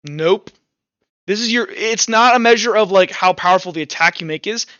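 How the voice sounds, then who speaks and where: A man with a bright, high voice runs a tabletop game as game master. He talks through rules and describes the action in a halting, self-correcting flow. The sound is dry, with the slightly dulled quality of an online voice chat. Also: no echo, dull audio. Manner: halting, repeats and restarts phrases. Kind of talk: improvised tabletop game narration and rules talk. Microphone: voice call over Discord.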